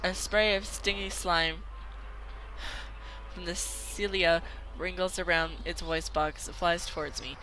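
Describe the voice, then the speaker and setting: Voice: medium pitch, steady tone, unhurried, pushed and bright, faint music behind, low-quality recording. An amateur video game player reading a visual novel aloud dramatically, sometimes stumbling and repeating words.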